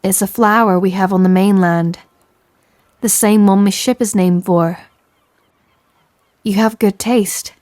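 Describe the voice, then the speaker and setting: A woman's voice: medium pitch, fairly even intonation, a little slow, long pauses, a little mellow, no room sound, natural voice. A woman playing a tender but commanding female pirate captain in an intimate audio roleplay, with a stage pirate accent and teasing sailor slang. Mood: warm admiration. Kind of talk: scripted roleplay audio, intimate second-person address.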